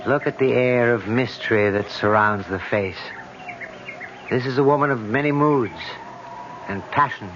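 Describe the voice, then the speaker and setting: A man's voice: mid-range voice, measured pace, lightly acted. An actor playing an earnest, superstitious young Frenchman in a radio drama, reverent and intense in manner. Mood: fascinated. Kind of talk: vintage radio drama acting. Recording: dull, noisy vintage radio recording.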